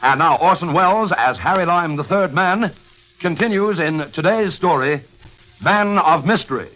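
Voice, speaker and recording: A man's voice: fairly high pitch, moderate pace, poor audio. A man announcing a radio drama in an old-time broadcast style. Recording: old radio recording, music behind. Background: loud music.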